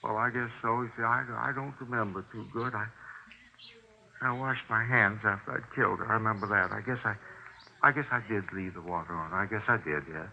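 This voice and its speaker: Self-justifying male killer, low-voiced, stammering and agitated, dull, noisy 1950s radio recording. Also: a little fast, gravelly. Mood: remorseful, shaken. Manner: agitated, pleading, repetitive, stammering. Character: nervous, self-justifying male landlord confessing a killing. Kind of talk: 1950s radio drama acting.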